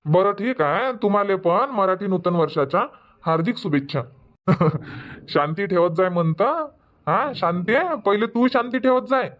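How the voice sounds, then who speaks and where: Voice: mid-range voice, lightly acted. A voice actor playing a sarcastic village husband and dutiful son in a cartoon comedy, speaking insistent, emphatic rural Vidarbha Marathi. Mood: sarcastic, grumbling. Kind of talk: cartoon comedy dub dialogue.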